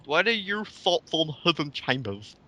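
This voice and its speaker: High pitched guy, bright sharp voice, sarcastic and swearing, casual commentary chat. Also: big pitch swings, a little slow. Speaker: co-host of a commentary show. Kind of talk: casual chat and mocking read-aloud of online comments.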